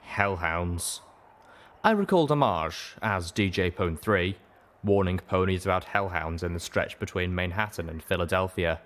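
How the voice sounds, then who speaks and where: A man's voice: deep voice, moderate pace, a bit bright. A man reading scripted first-person fiction as an audiobook narrator.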